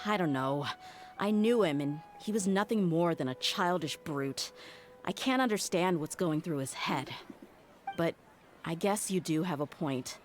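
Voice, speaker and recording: An actress playing a tough, blunt young mercenary, a wounded and exasperated daughter, in a video game. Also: female voice, lowish voice, unhurried, lightly acted. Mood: frustrated. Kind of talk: video game character acting.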